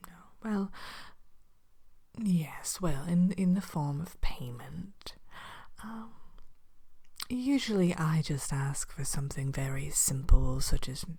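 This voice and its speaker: A woman voicing a politely sinister evil queen who runs a potion shop, in a soft, breathy, hesitant ASMR whisper. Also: deep voice, lively intonation, exaggerated voice. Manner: hesitant, genteel, unhurried, matter-of-fact about dark magic.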